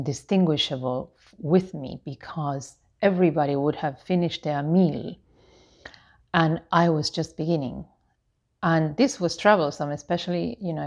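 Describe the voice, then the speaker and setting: A woman of about fifty talks casually to camera in a low-pitched voice. She takes her time, explaining things in a meandering, halting way. The sound is slightly muffled. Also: darkish tone. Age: 49, about to turn 50. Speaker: lifestyle vlogger. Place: living room with tiled floor, indoors. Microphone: clip-on lapel microphone.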